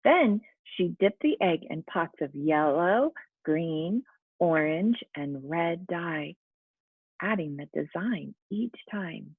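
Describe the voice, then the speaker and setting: A woman's voice: lowish voice, animated, slow, husky, dry recording. A woman teacher speaking encouragingly to young children, as in a lesson and storybook read-aloud, with muffled sound. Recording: muffled, phone-like narrow-band sound.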